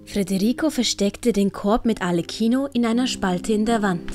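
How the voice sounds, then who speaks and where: A woman with a Swiss German accent narrating a storybook in a gentle, measured way. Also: medium pitch, music in the background. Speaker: narrator and author.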